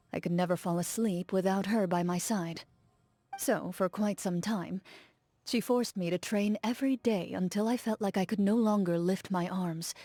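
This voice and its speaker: A woman's voice: medium pitch, no room sound, natural voice. A woman playing a stoic, reserved duelist. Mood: reflective. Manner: calm, measured, formal and reserved. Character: stoic young woman duelist, composed and guarded. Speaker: game character. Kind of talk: game character voice lines.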